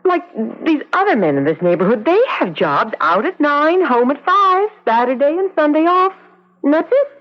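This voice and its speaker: A woman's voice: high-pitched, sing-song, moderate pace. An actress playing a fretful, nagging policeman's wife in a plaintive, pressing way in a 1970s radio drama. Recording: dull 1970s radio recording.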